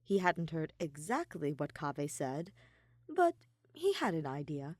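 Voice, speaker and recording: A woman reading a piece of fiction aloud as an expressive, emphatic narrator. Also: low-pitched, a little slow, no echo, clean studio sound. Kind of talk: expressive scripted story narration.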